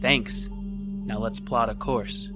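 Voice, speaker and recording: A man's voice: medium pitch, fairly even intonation, slow, loud music behind the voice. A man hosting a tabletop role-playing show as its game master.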